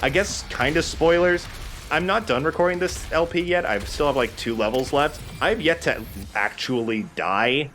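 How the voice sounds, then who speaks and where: A man's voice: fairly high pitch, moderate pace, a bit bright, soft background music. A man giving casual, chatty gameplay commentary, explaining things as he plays and swearing casually. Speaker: let's play host and player.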